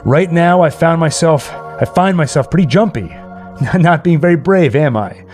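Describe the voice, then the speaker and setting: A man playing a nervous, rambling man alone in a haunted house in a horror radio play, halting and talking straight to his listeners. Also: on the high side, expressive, a little mellow, soft background music. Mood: jumpy, nervous. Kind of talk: old-time horror radio play monologue.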